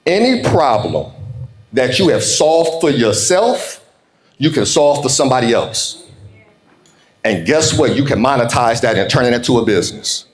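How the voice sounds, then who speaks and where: Animated American man speaking in an echoey room. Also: mid-range voice. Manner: emphatic, folksy, repeats key phrases slowly. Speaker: motivational keynote speaker. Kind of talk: motivational keynote speech with call-and-response. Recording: roomy, echoing live speech.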